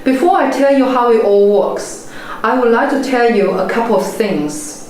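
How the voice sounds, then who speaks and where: A woman in her forties, a native Chinese speaker with a Chinese accent, giving a measured, earnest motivational talk as a property investment mentor. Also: fairly high pitch, a little mellow. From China. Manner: measured, earnest storytelling delivery. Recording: very echoey room, faint background noise.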